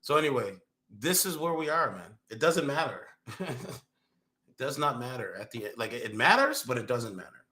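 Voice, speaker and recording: A man's voice: mid-range voice, big pitch swings, normal speed, bright, forceful tone. A man hosting a stream, giving casual, blunt reaction commentary with swearing. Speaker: stream host.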